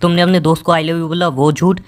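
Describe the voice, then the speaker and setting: A man's voice: fairly high pitch, little pitch movement, brisk, lightly acted. A man plays a bitter young boyfriend in a romantic phone-call drama. He talks in casual, colloquial words, with an accusing, sarcastic and distrustful manner.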